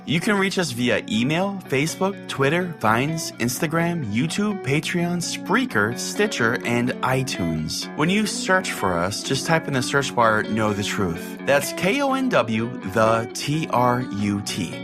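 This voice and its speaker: A man performs a pompous pastor hosting a comic call-in show. His medium-pitched voice swings animatedly as he talks over people with self-important, flustered authority. Music plays behind him. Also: big pitch swings, a little slow, hardly any pauses. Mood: upbeat sign-off. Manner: interrupting, self-important, foul-mouthed. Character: pompous, easily flustered male radio pastor host. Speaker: podcast host.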